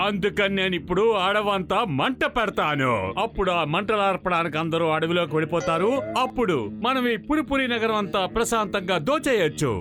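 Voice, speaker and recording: Cartoon villain, gangster boss, high voice, boastful, scheming, music behind. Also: male voice. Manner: pompous, gloating, rhyming catchphrase. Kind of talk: animated cartoon dub acting.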